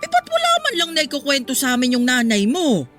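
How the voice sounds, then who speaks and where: A woman's voice: high voice, wildly swooping pitch, fairly quick, fairly bright tone, soft background music, heavily acted. A woman playing a nosy, judgmental older village neighbour in a radio drama, prying and sanctimonious while feigning concern.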